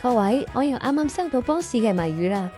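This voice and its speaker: A woman's voice: fairly high pitch, sing-song, brisk, over loud music. A woman playing an eager, anxious junior employee who also presents a comedic workplace radio drama. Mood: instructive.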